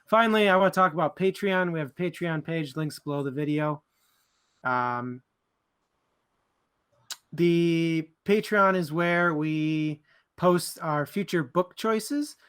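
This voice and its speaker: A man's voice: on the high side, takes it slowly, long pauses, fairly bright tone, smooth. A man co-hosting a book club livestream in casual, informal chat with a bit of joking.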